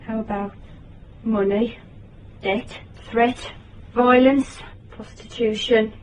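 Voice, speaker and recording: An actress playing a frightened young British single mother in radio drama, speaking in a halting, rambling way. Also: on the high side, steady tone, far from the mic. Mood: nervous, hesitant. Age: young adult.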